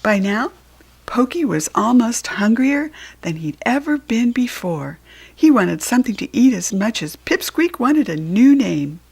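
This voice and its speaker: A woman with an American accent narrates a children's storybook. Her pitch moves in big, lively swings, and her voice has a slightly creaky, husky edge. She stresses words deliberately and expressively. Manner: animated, deliberate storytelling emphasis.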